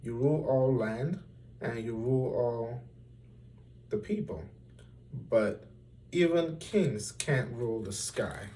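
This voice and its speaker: A man's voice: medium pitch, lively intonation, takes it slowly, long pauses, dark tone, recorded from a distance, slight background noise, rough recording. Man voicing a timid, anxious young royal page. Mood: cautious protest. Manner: anxious, deferential, pleading. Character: timid, respectful young male page who cautions his king.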